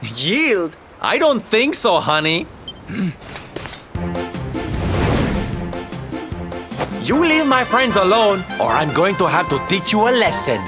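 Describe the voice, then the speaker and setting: An actor playing a flamboyant, kindly adventurer in a video game, campy and theatrical, full of endearments. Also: male voice, sits high, big pitch swings, moderate pace. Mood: defiant, sassy. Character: flamboyant, kindly adult male showman adventurer, campy and brave. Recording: loud game music under the voice.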